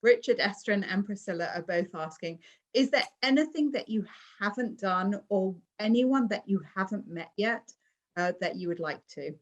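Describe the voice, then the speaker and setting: A woman's voice: mid-range voice, animated, moderate pace, highs cut off. A British woman hosting an online event over a video call. She reads audience questions aloud and chats back easily, laughing now and then. Her accent is from England. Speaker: interview host. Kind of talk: hosting a virtual interview, reading audience questions.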